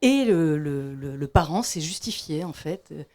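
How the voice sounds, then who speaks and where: Low-voiced woman, halting and reflective. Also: lively intonation, darkish tone. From Belgium. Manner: halting, reflective, many restarts. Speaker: audience member. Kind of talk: question from the floor.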